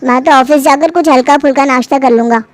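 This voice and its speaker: A woman's voice: high-pitched, fast talker, no room sound, natural voice. A woman voicing a fussy, health-conscious young adult son who protests to his parents. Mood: protesting.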